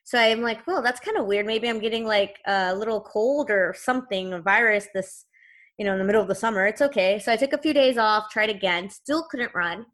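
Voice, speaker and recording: A woman's voice: medium pitch, fairly quick, fairly bright tone. A woman in her thirties, a podcast guest, telling her own story in an earnest, conversational way. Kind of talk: personal storytelling in interview.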